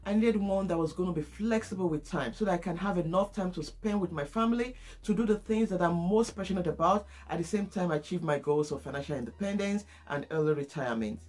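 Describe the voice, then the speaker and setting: A woman giving a brisk, matter-of-fact personal testimonial. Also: lowish voice, darkish tone. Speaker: human services specialist.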